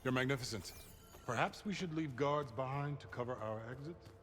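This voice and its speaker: An actor playing a fussy, fawning aide to a grand ruler in a video game, polished and formal in manner. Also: male voice, mid-range voice, brisk, a bit bright, a little hiss or hum, close to an everyday voice. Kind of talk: video game cutscene acting.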